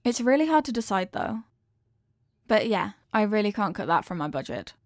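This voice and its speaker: A woman's voice: medium pitch, sing-song, moderate pace, darkish tone, no room sound, studio quality. A woman playing a cute, obsessive young girlfriend in a comedic audio roleplay, chatting to the listener in a sweet, earnest, rambling and possessive way. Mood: adoring.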